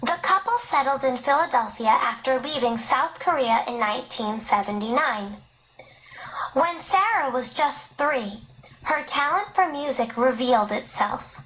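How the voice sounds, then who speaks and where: High-voiced woman reading educational narration. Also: fairly bright tone, a little hiss or hum, poor audio. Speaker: audiobook narrator. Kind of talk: scripted educational narration, read aloud.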